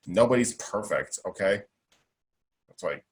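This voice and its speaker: A man's voice: lowish voice, lively intonation, measured pace. A young man speaking as an interview guest, emphatic and outspoken, with a strong voice. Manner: emphatic, outspoken, repeats himself. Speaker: guest, self-advocate. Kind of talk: interview conversation.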